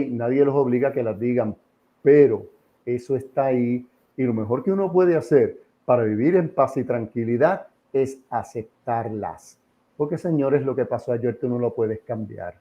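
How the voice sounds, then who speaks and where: A muffled, lo-fi recording of a mature Puerto Rican man giving his opinions in an interview. He lectures informally and emphatically, repeating key phrases and posing rhetorical questions in a medium-pitched voice. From Puerto Rico. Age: middle-aged or older. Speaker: interview guest, association president.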